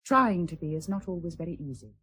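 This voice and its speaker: A woman's voice: on the low side, lively intonation, fast, fairly bright tone, breathy edge. A woman playing a dignified, dutiful titled wife in a radio drama, formal and restrained. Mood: quietly reserved.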